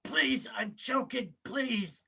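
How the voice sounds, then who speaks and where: A man playing an odd, evasive long-lost brother with a halting manner in a comedy table read. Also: very high-pitched, gravelly, sharp tone, husky, heavily acted. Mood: strained, pleading.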